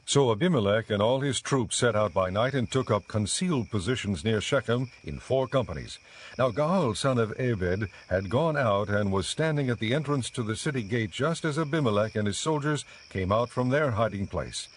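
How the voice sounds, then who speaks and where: A man narrating a dramatized audio Bible in a measured, clearly enunciated storytelling style. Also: mid-range voice, lively intonation.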